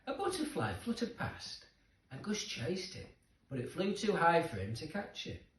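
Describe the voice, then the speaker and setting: A man's voice: a little high, huge pitch swings, normal speed. A man narrating a children's story expressively, with dramatic emphasis for young listeners. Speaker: storybook reader. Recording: roomy echo, distant microphone.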